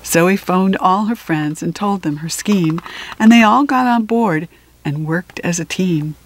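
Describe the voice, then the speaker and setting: Slow-paced woman reading a storybook. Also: on the low side, a little hiss or hum, poor audio. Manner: unhurried, expressive phrasing with deliberate pauses. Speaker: storybook reader. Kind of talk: read-aloud of a children's picture book.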